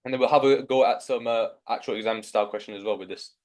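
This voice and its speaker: A young man with a Yorkshire accent teaching a live online maths lesson, coaxing his students along with steady encouragement. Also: fairly low pitch, fast talker. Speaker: maths tutor.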